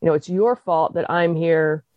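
A woman's voice: low voice, fairly even intonation, moderate pace, dry recording. A woman calling in to a fan talk show, chatting casually and thinking aloud over a dull, lo-fi line.

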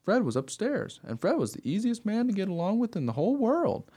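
A man's voice: high voice, wildly swooping pitch, fairly quick, dark tone, husky, heavily acted. An actor playing an eager, trusting young dog who tells his own story in a radio play with innocent earnestness. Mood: earnest, guileless. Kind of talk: first-person radio play narration.

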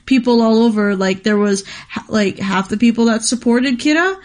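A young woman co-hosting an anime show, thinking aloud in relaxed, casual conversation. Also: on the high side, moderate pace. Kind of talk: casual chat, discussing anime.

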